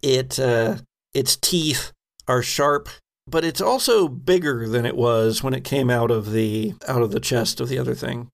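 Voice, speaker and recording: A man narrating as game master of a tabletop role-playing game, conversational and improvised, pausing as he describes things. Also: medium pitch, gravelly.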